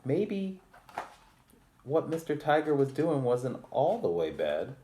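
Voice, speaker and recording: A man's voice: medium pitch, huge pitch swings, slow, dark tone, a little hiss or hum. A man reading a picture book aloud to children with a polite, theatrical delivery and chatty asides. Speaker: library storytime presenter.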